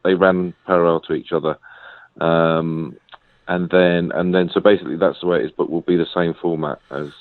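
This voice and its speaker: A man with a very low, deep voice answers interview questions over a tinny phone line, hesitant and full of ums and repeated words. The sound is dry and narrow, squeezed down to a phone's thin band. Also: no echo. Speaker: author and researcher being interviewed.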